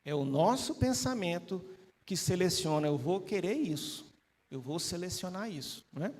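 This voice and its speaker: A man's voice: high voice, animated, moderate pace, darkish tone. A man teaching spiritism in a casual, conversational way, often checking in with rhetorical tag questions. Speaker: spiritist panel guest.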